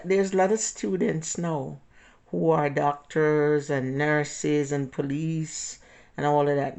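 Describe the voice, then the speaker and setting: Older woman, jamaican accent, gravelly creaky voice, rambling personal storytelling, self-corrections, soft laughs. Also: low-pitched. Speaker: interviewee.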